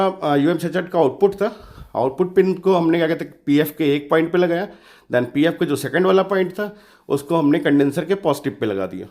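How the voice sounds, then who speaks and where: Slightly hoarse man explaining hands-on steps. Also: fairly high pitch, darkish tone. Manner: informal, explanatory, often adds reassuring check-ins. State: slightly hoarse from a sore throat. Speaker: DIY electronics tutorial host. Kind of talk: casual step-by-step tutorial narration.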